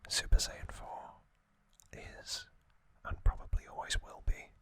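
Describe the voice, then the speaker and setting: A man hosting an ASMR video, whispering unscripted commentary in a hushed, unhurried, halting manner. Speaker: host of an ASMR channel.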